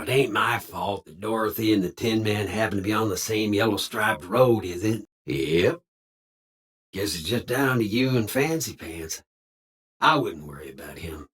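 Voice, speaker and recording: A man playing a jovial, slyly evasive cowboy robot in a video game, with a husky Southern American drawl and folksy slang. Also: lowish voice. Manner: folksy slang, theatrical swooping delivery. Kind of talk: video game character dialogue.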